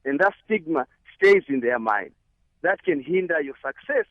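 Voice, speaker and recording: A man's voice: a little high, expressive, moderate pace, no echo. A man from Zambia calling in to a radio show, speaking earnestly and hesitantly. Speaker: caller. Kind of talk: radio call-in. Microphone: phone line. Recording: muffled phone line.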